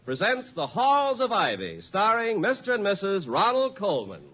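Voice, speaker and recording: A man with an American accent announcing and narrating in polished, measured 1950s radio style. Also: high voice, wildly swooping pitch, very noisy. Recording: old radio broadcast over loud music. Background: loud music.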